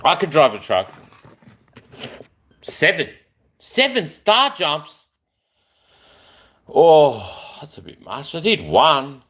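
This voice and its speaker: A man's voice: on the high side, huge pitch swings, slow pace, long pauses, a bit bright. A man, chatting in a rambling, affectionate way on a live stream. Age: 40s.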